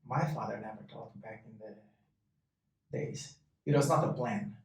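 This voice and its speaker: Hesitant Brazilian-born man, echoey and distant. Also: on the low side. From southern Brazil. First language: Portuguese. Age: 30s. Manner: hesitant, halting, short answers. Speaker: guest. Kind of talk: casual interview talk. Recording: echoey room, distant mic.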